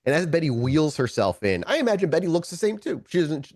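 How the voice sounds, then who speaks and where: A man acting as game master, narrating an improvised tabletop story in a casual, descriptive way with animated asides. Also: a little high, quick pace, dry recording.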